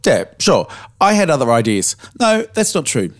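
Slightly hoarse Australian man. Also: medium pitch, very animated, normal speed, no room sound, studio quality. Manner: frank, storytelling, casual swearing. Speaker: podcast co-host. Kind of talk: casual podcast chat.

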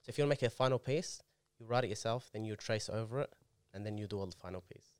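Man, calligrapher guest, eager and explanatory, quick pace, dry close sound. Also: fairly low pitch, clean tone, dry recording. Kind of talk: casual podcast conversation.

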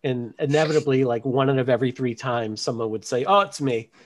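Guy cohost, mid pitch, jokey laid-back stories. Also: a little fast. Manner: joking, easygoing storyteller. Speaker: podcast co-host, school counselor. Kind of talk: casual podcast conversation.

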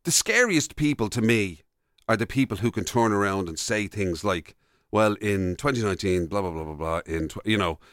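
A man's voice: on the low side, lively intonation, moderate pace, a bit bright, dry recording, studio quality. An Irish man co-hosting a radio show, chatting casually with a wry, teasing manner. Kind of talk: casual comic banter.

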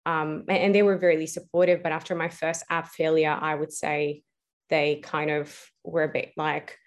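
A young woman with a slightly low voice is answering interview questions about her own path. She speaks casually and thinks out loud, using plenty of fillers and restarting her sentences. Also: flat delivery, moderate pace. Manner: reflective, many fillers and restarts. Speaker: guest, entrepreneur.

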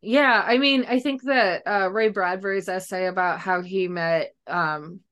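A woman speaks as a guest author in a relaxed interview. Her voice sits at a middle pitch. She explains things in a chatty, loosely hedged way, leaning on little filler words like "like" and "kinda.". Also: unhurried. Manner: chatty, hedging, frequent "like" and "kinda". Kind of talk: casual interview conversation.

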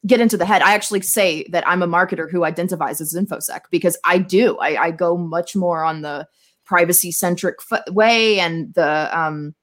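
A woman's voice: lowish voice, a little fast, fairly bright tone. A woman chatting casually as a podcast guest, with a playful, theatrical manner.

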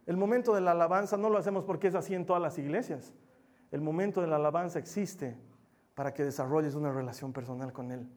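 A man who is a pastor, preaching a sermon in church. He repeats key phrases and swings from loud, emphatic peaks to soft, low passages. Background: music playing under the sermon.

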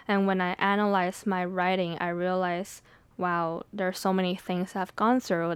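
A young Taiwanese American woman whose first language is Mandarin, talking candidly and thoughtfully on her own podcast, laughing softly between thoughts. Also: lowish voice, clean tone, dry recording. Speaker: poet and podcast host. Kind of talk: reflective solo podcast talk.

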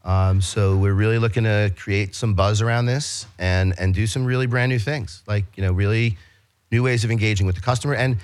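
A man's voice: fairly low pitch, steady tone, a little fast. A man with a New York accent, a business guest talking in a casual interview, eagerly explaining his company with many restarts and fillers.

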